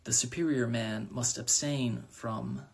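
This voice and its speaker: A man's voice: mid-range voice, steady tone, measured pace, vocal fry, slightly rough. A man voicing a timid, stiffly formal, apologetic man who has become a crow. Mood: flustered.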